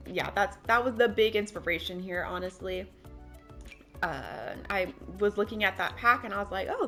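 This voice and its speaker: A young woman narrates her building session in a relaxed, meandering way, her voice a little tired and worn. She talks at a mid pitch into a desk microphone, with faint music playing underneath. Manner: chatty, rambling, self-deprecating. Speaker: game build creator. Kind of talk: casual narrated build commentary.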